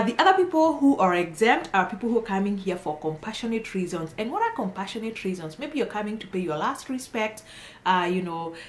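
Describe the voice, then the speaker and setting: A woman's voice: fairly low pitch, slight background noise. Woman giving emphatic advice, roomy echo. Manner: emphatic, encouraging, repeats phrases for stress. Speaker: immigration consultant host. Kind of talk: informal explainer addressed to viewers. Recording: roomy, echoing voice.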